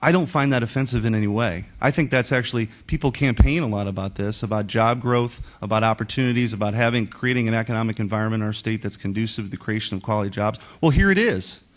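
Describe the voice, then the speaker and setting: A man's voice: lowish voice, quick pace, hardly any pauses. A man speaking as a senator in committee, making an impromptu, emphatic case with rhetorical questions and tags. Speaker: state senator. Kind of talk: impromptu political speech in committee.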